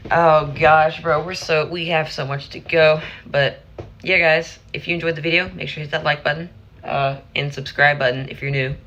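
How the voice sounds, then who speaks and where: A hoarse teenage boy chatting casually at the end of a livestream, rambling and restarting his phrases. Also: fairly high pitch, steady tone, moderate pace, sharp tone, muffled recording, a little hiss or hum. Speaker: streamer.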